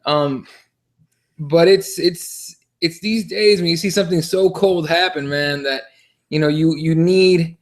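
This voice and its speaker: A man co-hosting a casual sports talk podcast, speaking in a halting, repetitive way with loose, sweary language. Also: a little high. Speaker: podcast co-host.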